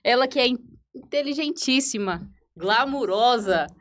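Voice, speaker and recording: Co-host: Brazilian woman, fairly high voice, casual podcast chat. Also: animated, unhurried, a bit bright.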